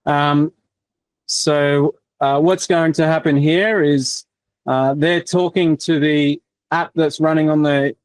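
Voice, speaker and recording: A man walks through a technical demo on a video call, stopping and restarting often. His voice sits a little high. The sound is dry and close, with almost no room echo. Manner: halting, many fillers and restarts. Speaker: workshop presenter. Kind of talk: casual technical explanation.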